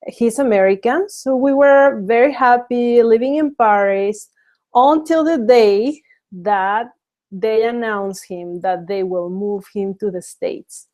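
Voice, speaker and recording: A woman's voice: on the high side, slow pace, a little mellow, highs cut off. A woman from Mexico in her thirties hosting a casual webinar, speaking hesitantly with many fillers and restarts. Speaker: webinar host.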